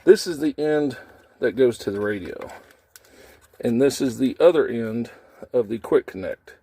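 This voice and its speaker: A man with a medium-pitched voice talks through a hands-on job. His phrasing is folksy and informal, in the casual style of a homemade tutorial, explaining each step while he works. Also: animated, unhurried, mellow, slightly rough. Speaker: how-to video host, trucker. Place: in and around a truck cab.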